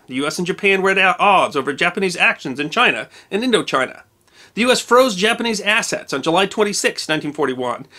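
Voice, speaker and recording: A man narrating a scripted history documentary, measured and precise with dates and figures. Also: sits high, bright, forceful tone.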